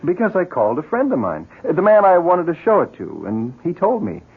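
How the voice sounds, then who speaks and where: A steady, reassuring friend in a 1970s radio play. His husky voice is conversational and varied in pitch. It comes through muffled, like a vintage broadcast. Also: a little fast, heavily acted. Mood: earnest, explaining. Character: calm, reassuring, friendly male family friend. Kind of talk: 1970s radio drama acting.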